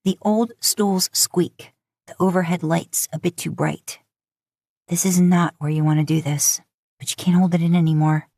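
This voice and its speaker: A woman's voice: fairly low pitch, fairly even intonation, normal speed, warm tone, no room sound, studio quality. A woman reading scripted fiction as an audiobook narrator.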